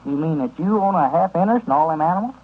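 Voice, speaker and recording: A man's voice: fairly high pitch, lively intonation, very fast. An actor playing an amused, sensible small-town man with a Southern US accent, folksy and incredulous, in 1930s radio comedy. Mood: amused, frank. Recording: tinny, noisy 1930s radio recording.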